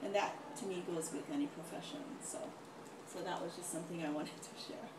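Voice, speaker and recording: A middle-aged woman speaking informally as a doctor on a panel, telling anecdotes in an animated way and acting out what people said. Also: on the low side, brisk. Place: large echoey room, event with audience. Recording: echoey, distant mic, noisy room.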